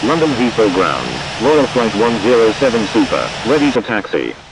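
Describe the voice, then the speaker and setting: A man's voice: mid-range voice, sing-song, normal speed, noisy background. A man speaking as a pilot, giving procedural, formulaic radio readbacks. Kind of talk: scripted air traffic radio readbacks.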